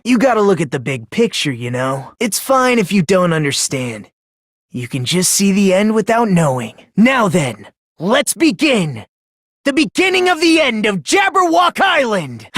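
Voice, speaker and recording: Unhinged teenage boy, video game character, soft breathy voice, self-deprecating, polite, theatrical, hope-obsessed, dry close sound. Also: mid-range voice, dry recording, heavily acted. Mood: disappointed, condescending, then manic, exultant. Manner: polite, theatrical, swinging from gentle to fervent. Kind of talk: English video game voice acting.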